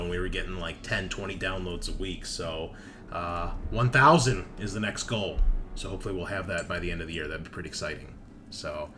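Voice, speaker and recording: A man's voice: medium pitch, fairly bright tone. A man hosting a tabletop gaming show as game master, chatting casually through announcements with joking, salty banter. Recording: noticeable background noise.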